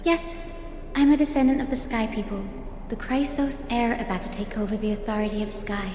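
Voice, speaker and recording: Woman as cheery young healer, highish voice, courteous, sincere, game dialogue, reverberant hall, music underneath. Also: brisk, darkish tone, lightly acted. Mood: cheerful, polite. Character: bright, polite, earnest young healer woman. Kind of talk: English video game voice acting.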